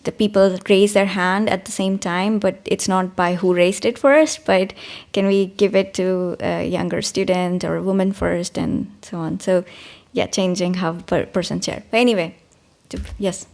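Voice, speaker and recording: Woman, workshop facilitator, flat even pitch, friendly, slightly halting. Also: vocal fry. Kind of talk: facilitating group discussion.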